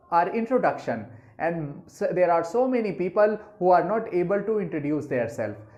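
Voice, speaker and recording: A woman's voice: medium pitch, a little mellow. A woman with an Indian accent, an English teacher explaining a lesson in mixed Hindi and English, patient and explanatory, often calling her listeners friends. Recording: roomy, slightly dull recording.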